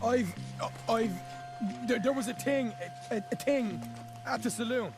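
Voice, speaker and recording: An actor playing a chatty, cheeky young Irish outlaw in a video game, with a glib, rambling way of talking. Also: male voice, very high-pitched, unhurried. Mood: nervous blarney. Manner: rambling, stammering, glib sweet-talk. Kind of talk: video game cutscene acting. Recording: music behind the voice.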